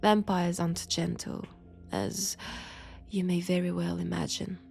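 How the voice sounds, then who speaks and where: A woman voicing a seductive, menacing ancient vampire in an intimate audio roleplay, with a French accent and a breathy delivery that dips into whispers. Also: on the low side, little pitch movement, a little slow, darkish tone, faint music behind. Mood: ominous.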